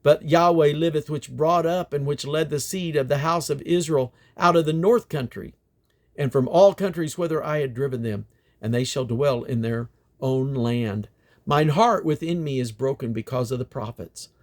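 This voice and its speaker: A man's voice: on the high side, moderate pace, a little mellow. A man reading King James scripture aloud in a solemn, declamatory style with emphatic delivery. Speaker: Bible reader.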